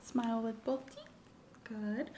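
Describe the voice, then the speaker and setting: A soft-spoken woman in an ASMR medical roleplay, gently and calmly playing an examiner, often dropping into a whisper. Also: a little high. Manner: gentle, reassuring, unhurried clinical narration. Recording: roomy echo, faint ambient noise.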